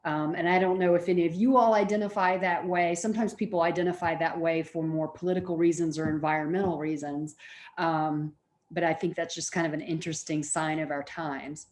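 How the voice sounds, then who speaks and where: A woman's voice: sits low, steady tone, a little fast, a little mellow. A middle-aged woman giving an informal college lecture, explaining things in a conversational way.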